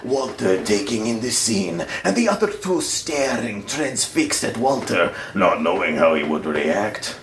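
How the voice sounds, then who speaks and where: A man's voice: on the low side, sing-song, measured pace, few pauses, reverberant, a little hiss or hum. A man reading a story aloud as a theatrical comic performance. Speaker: storyteller in a comedy troupe.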